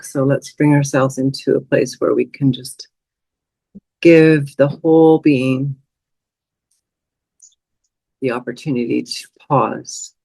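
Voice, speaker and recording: A woman leading a guided meditation as a teacher, speaking in a soothing, unhurried way with long pauses. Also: low-pitched, a little mellow, no echo, low-quality recording.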